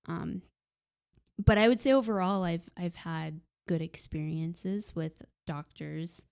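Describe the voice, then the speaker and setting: Young adult woman, soft, hesitant podcast guest with a slightly low voice. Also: takes it slowly, vocal fry, smooth. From Puerto Rican heritage. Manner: soft-spoken, hesitant with many ums. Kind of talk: casual conversation.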